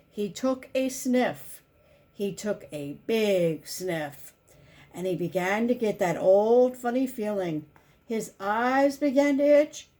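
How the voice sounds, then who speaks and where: Slow, high-voiced woman reading a storybook. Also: darkish tone. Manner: simple, deliberate storytelling with emphasized key words. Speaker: volunteer children's book reader. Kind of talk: expressive picture-book read-aloud.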